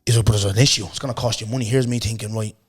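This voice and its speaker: A man, hosting a podcast in loose, slangy chat, his voice hoarse. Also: on the low side, racing. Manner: loose, animated storytelling with lots of slang and repeated "bro". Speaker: podcast host. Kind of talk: casual chat.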